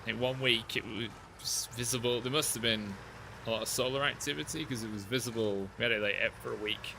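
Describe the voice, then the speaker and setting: Chatty gravel-voiced man streaming a game. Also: medium pitch, fairly quick, noisy background. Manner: relaxed, chatty, answers chat between driving comments. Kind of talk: casual live-stream chat while playing.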